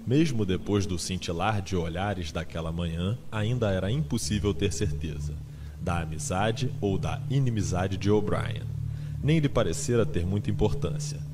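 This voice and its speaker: A man with a low voice narrates a literary audiobook. His reading is dramatized and scripted, set over a clearly audible bed of ambient sound effects. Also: normal speed, noisy background.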